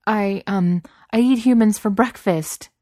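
A woman's voice: lowish voice, normal speed, a little mellow, dry recording, clean studio sound, close to an everyday voice. A woman voicing a huge but timid, apologetic young male beast in a story, hesitant and stammering, with teenage slang. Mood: flustered, desperate. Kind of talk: audiobook character voice.

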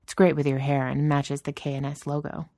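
A woman doing a low, grumpy deadpan office guy. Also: fairly quick, dry recording, clean studio sound. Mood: dry sarcasm. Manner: gruff, deadpan, sarcastic. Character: grumpy, dry-witted, caffeine-starved male office writer.

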